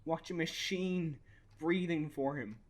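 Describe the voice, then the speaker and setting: An actor with an Irish accent playing a grieving, bitter young father in a naturalistic radio play, halting and sweary. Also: fairly high pitch. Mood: raw, incensed. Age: young adult.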